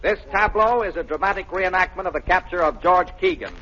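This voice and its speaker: A man's voice: sits high, animated, brisk, sharp tone. A man playing a formal, showy museum lecturer with declamatory guide patter in 1930s radio style. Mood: formal, presenting. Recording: muffled vintage radio, crowd murmur.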